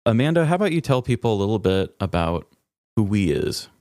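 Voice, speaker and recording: Man, American accent, low clear voice, dry close sound, casual joking podcast host. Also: sing-song, fairly quick, a little mellow, no echo. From Midwestern United States.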